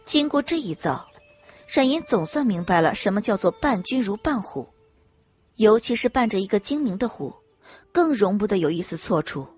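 A woman reading scripted dramatic audiobook narration in an expressive storytelling manner. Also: mid-range voice, moderate pace, husky, faint music behind.

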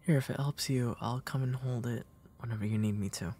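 A man acts out a gentle big brother in an intimate comfort roleplay. His voice is husky and breathy at a middling pitch. He speaks tenderly and a little hesitantly, protective and soft, close to a whisper at times. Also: fairly even intonation, brisk, close to an everyday voice. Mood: tender, caring.

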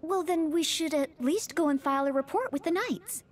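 Earnest, high-pitched young maid knight. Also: female voice, expressive, normal speed. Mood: earnest concern. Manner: formal, courteous, helpful. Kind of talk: anime game character dialogue.

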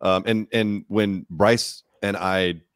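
Man, host, very deep voice, supportive, dry sound. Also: fairly even intonation, slow, smooth, no echo. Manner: supportive, frequent brief agreement. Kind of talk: livestream panel discussion.

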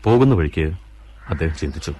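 Brief: male narrator for a radio play, very low voice, measured and even. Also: background noise. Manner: measured, storytelling. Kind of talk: scripted radio drama narration.

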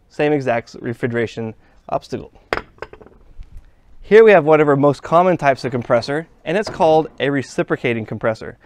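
A man teaching a hands-on trade lesson, explaining step by step as he works with parts at a workshop bench. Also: a little high. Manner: plain, step-by-step explaining while handling parts. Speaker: HVAC instructor. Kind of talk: hands-on technical demonstration.

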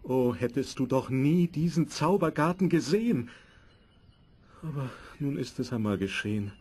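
A man's voice: medium pitch, lively intonation, moderate pace, darkish tone, husky. A man playing a devoted, fearful husband in a fairy-tale audio play, speaking in an earnest, dramatic way. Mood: resigned, devoted.

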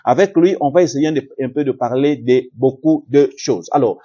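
Congolese french guy hosting a tv show, dark voice, lots of euh, bit muffled lo-fi sound. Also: mid-range voice, normal speed, breathy edge. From Democratic Republic of the Congo. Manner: hesitant, many "euh" fillers, repetitive phrasing. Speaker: TV talk show host. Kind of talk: TV studio interview. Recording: slightly dull lo-fi sound.

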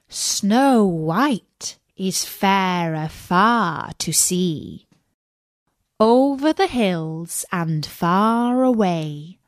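A woman voicing a solemn magic mirror in a children's storybook, chanting verse slowly and gravely. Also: fairly low pitch, dry recording, studio quality. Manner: slow, drawn-out, incantatory verse.

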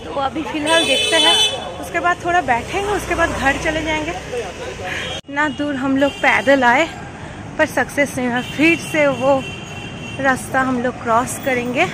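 A woman's voice: high-pitched, measured pace. A woman in her thirties chatting to her phone camera while walking a busy city street at night, tired from all the walking. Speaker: vlogger. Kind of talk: casual walking vlog. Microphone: phone held at arm's length. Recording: loud street noise and crowd. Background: traffic and crowd noise.

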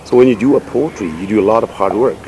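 A middle-aged man whose first language is Nepali speaks in a very low, grounded voice. He answers in a measured, reflective way, pausing often on "you know". The recording was made outdoors in a garden, with clear background noise throughout. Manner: measured, thoughtful, many fillers like "you know". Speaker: poet and writer, interview guest.

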